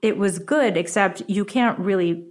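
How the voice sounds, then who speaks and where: A woman's voice: mid-range voice, unhurried. A middle-aged woman whose first language is Japanese, a book author talking as an interview guest, chatty and often restarting her sentences. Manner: chatty, restarts sentences, lively rises when excited. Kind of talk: interview conversation.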